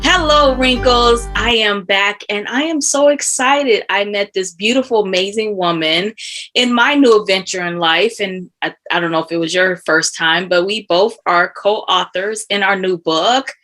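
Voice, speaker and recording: A woman's voice: mid-range voice, a little slow, pushed and bright, faint music behind. A woman hosting a casual video-call chat, upbeat and gushing as she welcomes her guest. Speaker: host.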